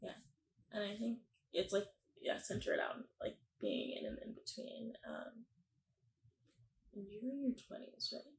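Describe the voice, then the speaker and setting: A woman's voice: fairly high pitch, unhurried, gravelly, echoey room, slight background noise, low-quality recording. A woman in her twenties hosting a podcast, chatting casually with lots of fillers and frequent laughter. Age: 20s. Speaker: podcast host. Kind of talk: casual interview chat.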